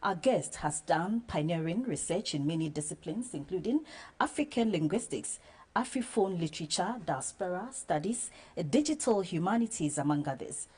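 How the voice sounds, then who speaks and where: Woman, TV interview host, low voice, husky. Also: animated, normal speed, darkish tone. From Ghana. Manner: reads a scripted introduction, then asks short questions. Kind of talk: broadcast TV interview.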